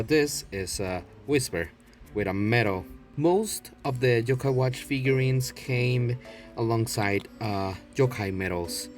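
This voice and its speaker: A man's voice: medium pitch, darkish tone. A man giving an unscripted, casual show-and-tell as a video blogger, rambling and prone to swearing. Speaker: video blogger showing a toy collection.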